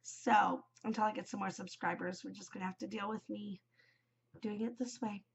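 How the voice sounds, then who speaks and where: A woman's voice: mid-range voice, steady tone, normal speed, gravelly, a little hiss or hum. A woman hosting a craft podcast, chatting casually with lots of ums, her voice stuffed up and worn from a cold. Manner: rambling, many ums, chatty. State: congested, voice shot from a cold. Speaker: knitting podcast host. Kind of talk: casual solo chat.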